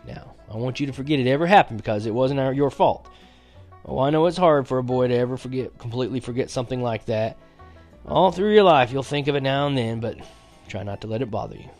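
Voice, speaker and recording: A man voicing a kindly, excitable old country grandfather in a storybook, with a folksy, emphatic way of talking. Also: fairly high pitch, a little fast, soft background music, pitched above the performer's own voice. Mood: solemn, gentle.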